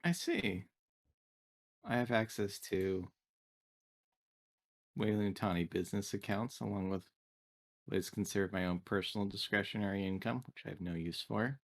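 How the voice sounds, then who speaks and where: A man's voice: on the low side, fairly even intonation, moderate pace, pauses often, lightly acted. A man voicing a polite, helpful android crew member in a tabletop sci-fi game, speaking formally and precisely. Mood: formal, dispassionate. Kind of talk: in-character tabletop sci-fi roleplay.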